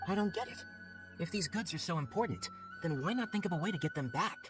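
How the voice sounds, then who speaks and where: A man's voice: sits high, expressive, quick pace. A man voicing a businesslike young forest watcher in a video game, speaking in a matter-of-fact, curt way. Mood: questioning. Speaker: voice actor in game dialogue. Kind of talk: scripted video game voice acting. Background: game background music.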